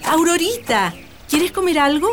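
A woman's voice: very high voice, big pitch swings, unhurried, a little hiss or hum, heavily acted. A woman playing a genteel, easygoing middle-aged mother and hacienda mistress in a radio drama. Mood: motherly.

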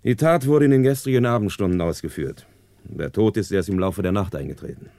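A man's voice: lowish voice, fairly quick, creaky, dark tone, no room sound. A man acting a matter-of-fact police inspector giving precise, clipped reports in a 1960s German radio play. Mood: factual. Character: businesslike male police inspector reporting to his chief. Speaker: actor in a radio crime drama.

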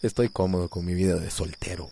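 A comfortable but hesitant middle-aged Costa Rican bachelor in a radio drama. His voice sits fairly low, over faint background noise. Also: fairly even intonation, fast, creaky, mellow. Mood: wry. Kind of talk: radio soap opera acting.

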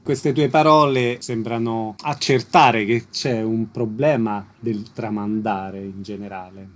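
A man's voice: on the low side, fairly even intonation, slow pace. A man hosting a radio arts programme, interviewing guests and announcing in a presenter's style.

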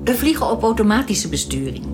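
A woman acting in a radio drama as a calm, welcoming young alien who explains things politely and with composure. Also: on the high side, a little fast, over music. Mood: polite, reassuring.

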